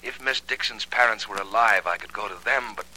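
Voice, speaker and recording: An old folksy gardener on vintage radio. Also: male voice, fairly low pitch, fairly quick, bright, forceful tone, rough recording. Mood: worried. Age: older. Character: older male family gardener, folksy and loyal. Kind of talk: 1940s radio acting. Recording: old radio broadcast with faint hiss.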